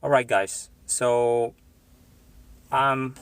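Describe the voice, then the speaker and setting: A man from Morocco, an English tutor chatting live with his viewers, unhurried and pausing often with fillers. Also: medium pitch, fairly even intonation, a bit bright, smooth, no room sound. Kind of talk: live stream chat with viewers.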